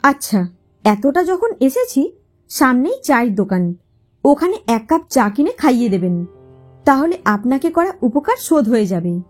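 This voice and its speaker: Woman reading as an easygoing young man, high-pitched. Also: sing-song, moderate pace, darkish tone, breathy edge, soft background music, full character voice. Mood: teasing, casual. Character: easygoing, teasing young man with a wistful streak. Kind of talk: dramatised audio short story.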